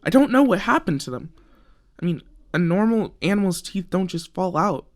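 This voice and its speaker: A man playing an earnest research scientist in a radio play, recording a log and thinking aloud haltingly. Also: high voice, animated. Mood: puzzled.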